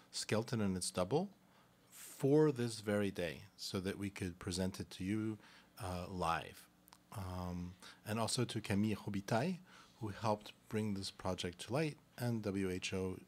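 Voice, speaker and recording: A man presenting and narrating a live radio play in a halting, deliberate way. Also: fairly low pitch, darkish tone. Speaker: presenter and stage-directions reader.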